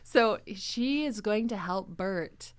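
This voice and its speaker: A woman with a mid-range voice hosts a casual podcast discussion. She is playful and conversational, quick to laugh and happy to act things out as she talks. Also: mid-range voice, animated, clear, resonant tone. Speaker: podcast host and series creator.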